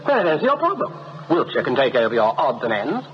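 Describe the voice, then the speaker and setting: A brisk, patronising company director. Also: male voice, medium pitch, wildly swooping pitch, long reverb tail. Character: smooth, brisk male company director, cool. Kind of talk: radio drama acting. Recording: dull, hissy radio drama recording.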